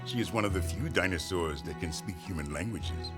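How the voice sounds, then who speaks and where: Deep-voiced wise island guide played by a man. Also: natural voice. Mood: reassuring. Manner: measured, explanatory. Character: calm, wise male native guide of a fantasy island. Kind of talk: audio drama acting. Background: music.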